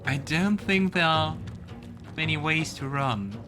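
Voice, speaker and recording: A man's voice: a little high, lively intonation, takes it slowly. A man hosting a let's play, giving casual gaming commentary and thinking aloud in a conversational way. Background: loud game music.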